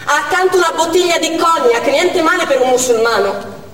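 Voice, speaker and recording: An anguished woman doctor delivering a dramatic theatre monologue. Her voice is high, intense and deliberate, breaking the account into short, urgent phrases. It rings with long reverb in a hall, picked up from a distance. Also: lively intonation, fairly bright tone. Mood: tense. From Armenia (the character). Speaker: stage actress. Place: indoor theatre stage. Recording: echoey theatre hall, distant mic, faint noise.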